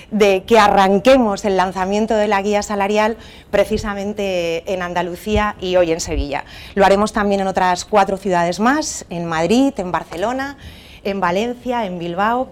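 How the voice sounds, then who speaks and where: A woman with a Castilian Spanish accent giving a conference talk in a university auditorium, warm and conversational, with frequent restarts and rhetorical questions. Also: mid-range voice. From Spain. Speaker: keynote presenter. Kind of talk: conference presentation.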